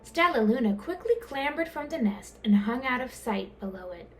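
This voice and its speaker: A woman reading a children's storybook aloud in an expressive, dramatic way, drawing out words. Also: fairly high pitch, moderate pace. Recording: clear background noise.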